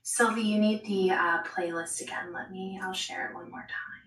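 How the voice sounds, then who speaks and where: Female art instructor, gentle and chatty, live-stream audio with room echo. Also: mid-range voice, steady tone, moderate pace, distant mic, slight background noise. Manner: soft-spoken, chatty, answering viewers while drawing. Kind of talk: live online art class.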